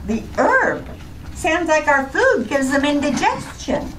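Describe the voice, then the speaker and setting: Lady playing ditzy radio comedy wife, high swoopy voice, totally innocent. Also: very animated, slow, slight background noise, exaggerated voice, low-quality recording. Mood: earnest, ditzy. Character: ditzy, cheerful scatterbrained wife with naive logic. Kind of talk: old-time radio comedy acting.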